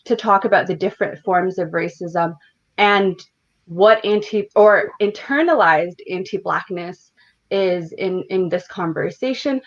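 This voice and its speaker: Low-voiced woman on dull lo-fi audio. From United States, Detroit and Northern Virginia. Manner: thoughtful and measured, with frequent "um" and "you know". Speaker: community organizer, panelist. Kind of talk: panel discussion remarks.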